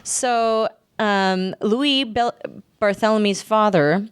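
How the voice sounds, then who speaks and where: Clear-voiced woman hosting a casual podcast. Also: mid-range voice, slow, dry recording. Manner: informal, joking. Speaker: podcast host. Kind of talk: casual chat with storytelling from notes.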